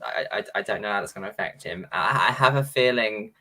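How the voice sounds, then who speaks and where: A man's voice: on the low side, animated, fairly quick, sharp tone. A man co-hosting a casual prediction show, thinking aloud in a hesitant way. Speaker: show co-host. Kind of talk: casual chat about match predictions.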